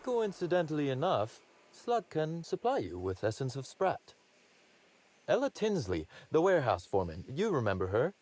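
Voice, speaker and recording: An actor playing a wry, polished boss of a secretive outfit in a video game, speaking with formal courtesy. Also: male voice, a little high, huge pitch swings, normal speed, darkish tone. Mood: pleased, courteous. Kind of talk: conversational video game acting.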